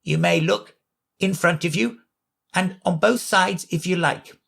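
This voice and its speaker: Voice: mid-range voice, a little slow, gravelly, a bit bright, slightly rough, pitched above the performer's own voice, full character voice. A reader voicing an old female sheep who keeps a shop and speaks in a dry, matter-of-fact way. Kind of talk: storybook reading.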